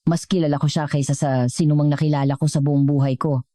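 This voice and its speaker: Woman voicing an earnest, low-voiced Filipino businessman in love. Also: flat delivery, fast talker, mellow, no room sound, clean studio sound, natural voice. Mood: resolute. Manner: sincere, direct. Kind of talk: storybook dialogue.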